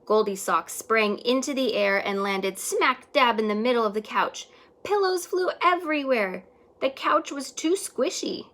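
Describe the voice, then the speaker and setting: A woman teacher reading a children's story aloud expressively, stretching words and hushing some for emphasis. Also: on the high side, expressive, a little slow.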